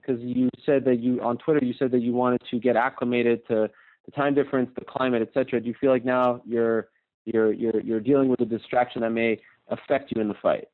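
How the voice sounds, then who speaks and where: A man's voice: medium pitch, flat delivery, fairly quick, narrow, tinny audio. A man working as a journalist, asking questions on a press conference call over the phone.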